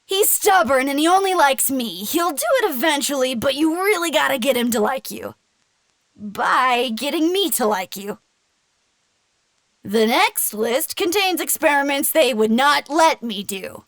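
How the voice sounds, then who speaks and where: An actress playing an eager, affectionate young agent in an audio drama, with a bubbly, informal, excitable manner. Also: female voice, high voice, a little slow, no room sound. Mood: chirpy, proud. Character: eager, stubborn, affectionate young female agent training a creature.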